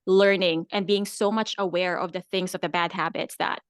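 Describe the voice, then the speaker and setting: A woman's voice: fairly low pitch, steady tone, normal speed, smooth, no echo, clean studio sound. A woman from the Philippines, a guest content creator chatting casually in an interview, filling her talk with "like" and "right?". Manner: chatty, many "like" and "right?" fillers.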